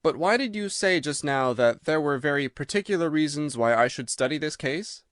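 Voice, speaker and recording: A man's voice: on the high side, expressive, normal speed, fairly bright tone, dry recording, studio quality. A man voicing a mild-mannered, curious companion of a detective, speaking politely and questioningly in an audiobook. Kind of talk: dramatised audiobook reading.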